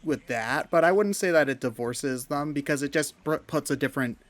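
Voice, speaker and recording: A man's voice: fairly high pitch, a little fast. A man chatting casually as a gaming commentator, explaining his views in a loose, rambling way. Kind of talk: casual discussion of video games.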